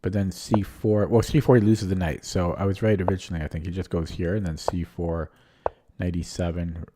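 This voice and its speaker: A man's voice: low-pitched, steady tone, fairly quick, background noise. A man streaming chess who thinks aloud in a casual, hesitant way, his voice a little strained from talking nonstop. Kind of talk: casual live-stream commentary.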